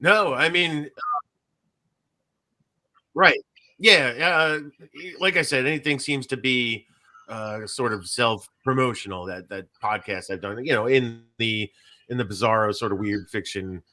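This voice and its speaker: A man's voice: medium pitch, unhurried, bright, forceful tone. A man hosting a casual podcast, talking in a rambling way that trails off mid-thought. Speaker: podcast host.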